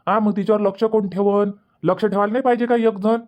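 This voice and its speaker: A man's voice: high-pitched, fairly even intonation, fast, darkish tone, natural voice. A man voicing a worried, reluctant village father in a cartoon comedy, questioning and protesting with a Vidarbha Marathi accent in a dull recording. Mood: worried objecting.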